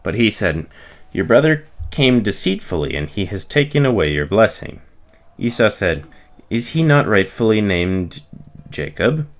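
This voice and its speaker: A man reading the Bible aloud from the text, giving the dialogue expressive emphasis in his own voice. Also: fairly low pitch, measured pace. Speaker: reader.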